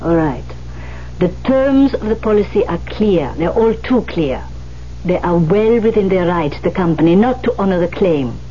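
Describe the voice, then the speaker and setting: A woman's voice: fairly low pitch, expressive, normal speed, dark tone, natural voice. An actress playing a stern, commanding old woman in a 1970s radio drama, scolding and insistent, with formal phrasing. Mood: firm, reasoning. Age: older. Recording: muffled, hissy old radio broadcast.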